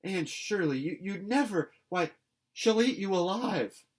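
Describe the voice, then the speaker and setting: A man acts out a young girl in a storybook. The pitch is lifted and the delivery exclamatory and dramatic, as an excitable, timid best friend. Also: a little slow. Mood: alarmed.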